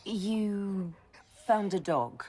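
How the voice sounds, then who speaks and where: An actress playing a wry, warm-hearted woman hero in a video game, with a husky voice and teasing manner. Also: mid-range voice, expressive, drawn-out words, slight background noise.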